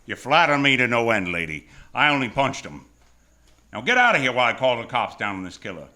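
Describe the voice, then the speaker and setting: A man's voice: medium pitch, very animated, brisk, sharp tone. An actor with an American accent playing a tough, wisecracking hardboiled hero who tells his story in a noir radio drama, wry and terse. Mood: brisk gratitude. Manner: wry, terse, world-weary. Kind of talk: first-person noir radio drama acting.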